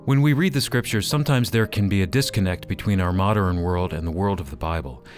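A man's voice: sits low, brisk, over music. A man reading scripted promotional narration as the announcer of a radio program.

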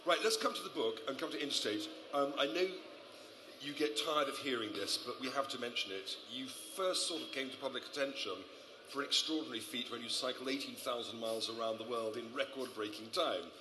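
A man hosting a live festival stage interview, talking loudly and chattily and apologizing for the microphone trouble. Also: mid-range voice, brisk. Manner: loud, chatty, apologetic about mic trouble. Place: stage at a travel writers festival. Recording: roomy hall with background noise.

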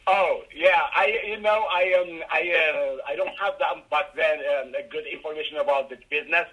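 A middle-aged man from Ethiopia with an Ethiopian accent, answering interview questions haltingly with many fillers and restarts. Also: fairly high pitch, dull audio. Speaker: guest, rideshare driver.

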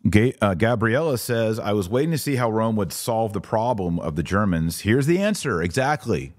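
Low-voiced man hosting a live podcast. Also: animated, normal speed, dry recording. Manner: informal, opinionated, emphatic. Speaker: podcast host. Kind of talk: live commentary, reading listener chat comments.

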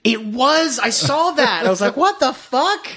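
A man's voice: very high voice, lively intonation, measured pace, fairly bright tone. A man co-hosting a film podcast with casual comedic banter, incredulous, joking and swearing.

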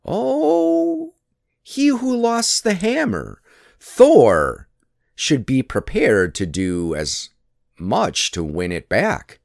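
A man's voice: low voice, huge pitch swings, takes it slowly, dry recording, studio quality, full character voice. A man voicing a cunning, mocking trickster god from a myth, teasing and coaxing as he schemes. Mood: scheming. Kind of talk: dramatic myth storytelling.

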